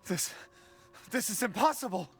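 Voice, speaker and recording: A man's voice: sits high, lively intonation, moderate pace, creaky. An actor playing a desperate, hot-tempered father in a video game, blunt and agitated. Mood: horrified disbelief. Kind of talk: dramatic video game voice acting.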